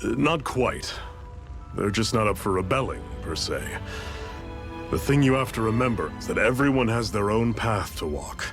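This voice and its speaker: A low-voiced, easygoing rebel leader. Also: male voice, moderate pace, music in the background. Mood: patient, explaining. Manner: relaxed, folksy, mentoring. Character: seasoned rebel leader, a fatherly, easygoing older man. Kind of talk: video game voice acting.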